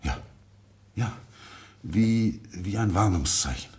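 A man playing an agitated, haunted secretary in an old German radio crime play, speaking in a halting, evasive way. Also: on the low side, animated, darkish tone. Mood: uneasy, confessing. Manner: halting, evasive, breaking off and repeating himself. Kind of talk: German radio crime play acting from the mid-20th century.

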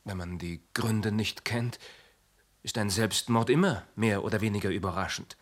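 A man playing a husky, guarded tenant. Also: lowish voice, moderate pace, natural voice. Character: guarded male tenant, wary and matter-of-fact. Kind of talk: radio play acting.

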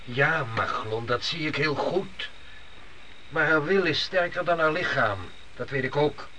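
A man's voice: fairly high pitch, a little slow, lightly acted. An actor playing a stern, devout middle-aged father in a Dutch radio play, commanding and solemn. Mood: resolute. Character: stern, devout father leading his family through the desert. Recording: noisy old radio drama recording.

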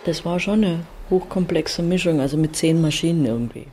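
A woman's voice: deep voice, a little fast, dark tone, a little hiss or hum. A woman reminiscing in conversation in a reflective way, searching for her words as she goes.

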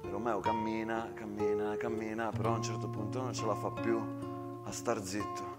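A man's voice: on the low side, level tone, normal speed, over loud music. A man narrating a trench story theatrically, as an actor-narrator.

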